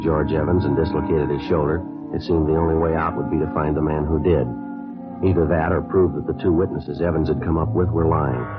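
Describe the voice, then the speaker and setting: An actor playing a terse, businesslike police detective in an old radio drama, with clipped, deadpan, matter-of-fact delivery in narration and dialogue. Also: male voice, very deep voice. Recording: muffled old radio recording.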